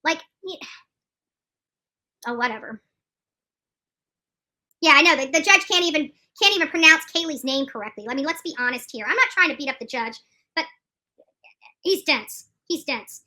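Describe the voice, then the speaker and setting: Casting: woman live commentator, very bright forceful high voice, opinionated, repeats herself for emphasis. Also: expressive, normal speed, pauses often. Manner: emphatic, repeats phrases for stress. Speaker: streamer commenting on court news.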